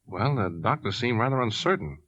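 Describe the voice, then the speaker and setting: A man's voice: medium pitch, lively intonation, a little fast, a bit bright. An actor playing a troubled, bereaved family man, subdued and hesitant, in 1950s radio suspense style. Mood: worried. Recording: muffled 1950s radio recording.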